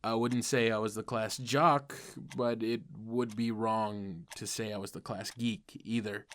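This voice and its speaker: A man's voice: fairly low pitch, fairly even intonation, unhurried, a bit bright. A man playing a wry, self-deprecating ordinary teenage boy who narrates his superhero story in a comic dub, confiding and sardonic.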